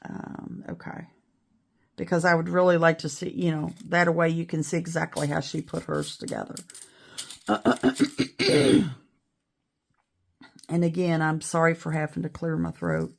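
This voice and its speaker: A middle-aged woman with a raspy voice after an illness, chatting casually and rambling a little while she shows how to make a beaded bracelet. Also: on the low side. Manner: chatty, rambling, self-deprecating. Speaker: beading video host. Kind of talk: casual tutorial chat.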